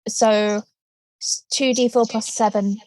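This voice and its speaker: A woman's voice: on the high side, fairly even intonation, slow pace, a little mellow, dry recording, rough recording. A woman playing a tabletop game, talking casually and hesitantly as she thinks her turn through aloud.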